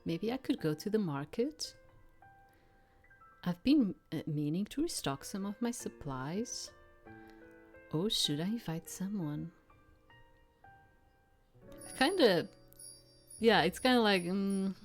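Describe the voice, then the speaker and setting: A woman's voice: mid-range voice, animated, slow, long pauses. A woman doing casual gameplay commentary and reading story text aloud, with a hesitant, thinking-aloud manner. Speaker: let's player reading a visual novel aloud. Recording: background music under the voice. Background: game music.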